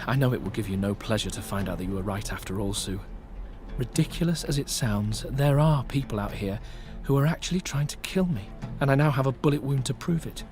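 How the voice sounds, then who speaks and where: A man's voice: mid-range voice, brisk, a little mellow, close to an everyday voice. An actor in a radio drama playing a polite, wry Englishman with an English accent and a courteous, understated manner. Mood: confiding, uneasy. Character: polite, wry Englishman caught up in wartime espionage. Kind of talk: radio drama acting with first-person narration. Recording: music, ship and outdoor ambience behind.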